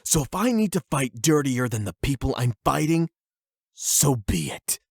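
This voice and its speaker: Anime audio drama: male actor as a bitter, confrontational young punk, emotionally volatile, gravelly, highly animated delivery. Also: moderate pace, dry recording, clean studio sound. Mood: bitter, building anger. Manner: sweary, sarcastic, confrontational. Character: angry, hurt young male delinquent, defensive and defiant. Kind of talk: emotional anime-style audio roleplay acting.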